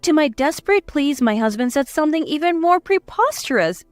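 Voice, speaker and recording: A woman reading a scripted first-person drama story in an expressive manner. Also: high-pitched, brisk, no echo, faint music behind. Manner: expressive, acting out dialogue. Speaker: story narrator.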